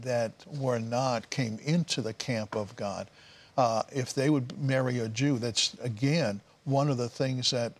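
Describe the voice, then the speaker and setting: A man from Canada explaining scripture off the cuff as a panelist on a religious talk show. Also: mid-range voice. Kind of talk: unscripted Bible teaching in a panel talk.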